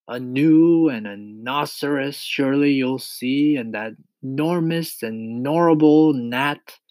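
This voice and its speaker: Boastful storybook centipede, acted by a man: mid-pitched, dry sound, unhurried sing-song rhymes, mischievous. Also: a little mellow, dry recording. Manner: declaiming in sing-song verse. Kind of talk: children's storybook reading.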